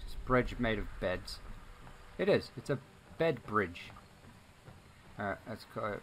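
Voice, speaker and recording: A man's voice: fairly low pitch, lively intonation, measured pace, fairly bright tone, slight background noise, low-quality recording. A man hosting a let's play, giving informal commentary and thinking aloud as he reacts to the game. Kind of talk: casual gaming commentary.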